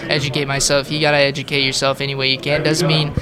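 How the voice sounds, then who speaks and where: A man's voice: a little high, level tone, fast talker, crowd noise. A teenage boy being interviewed, answering in an earnest way with many fillers. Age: teens. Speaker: guest. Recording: crowded event hall.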